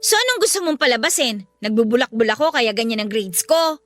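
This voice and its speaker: An actress playing a bratty, defiant eighteen-year-old younger sister in a Filipino radio drama, with a sarcastic, whiny way of arguing. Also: female voice, fairly high pitch, expressive, brisk, fairly bright tone. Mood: defiant, irritated.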